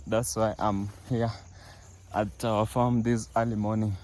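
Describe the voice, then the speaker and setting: A man's voice: fairly low pitch, flat delivery, a little slow, darkish tone. A young man vlogging from his family farm outdoors, talking casually to viewers with many fillers and restarts. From East Africa. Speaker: village vlogger. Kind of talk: casual walk-through vlog.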